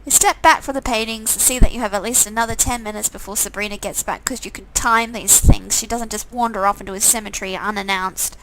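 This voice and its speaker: A woman's voice: mid-range voice, steady tone, fast talker, hardly any pauses, background noise. A woman reading a visual novel game aloud, with sarcastic, amused asides in a casual style. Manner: sarcastic, amused commenting between read lines.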